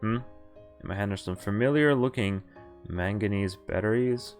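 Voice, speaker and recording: A man's voice: fairly low pitch, moderate pace, faint music behind. A man streaming a visual novel, reading the game text aloud in a half-acted way and breaking off for wry, casual asides.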